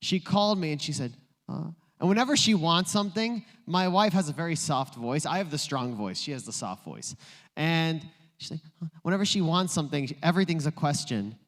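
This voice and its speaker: A man's voice: fairly high pitch, lively intonation, a little fast. A male pastor preaching a sermon in a playful, joking storytelling way. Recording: long reverb in a large room.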